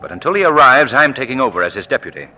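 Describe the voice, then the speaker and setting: An actor playing a suave, commanding amateur sleuth and avenger in a 1940s radio mystery. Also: male voice, medium pitch, very animated, sharp tone. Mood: probing, authoritative. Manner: commanding, measured, interrogating. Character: suave amateur sleuth and eerie invisible crime-fighting avenger. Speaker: lead actor. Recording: noisy vintage 1940s radio recording.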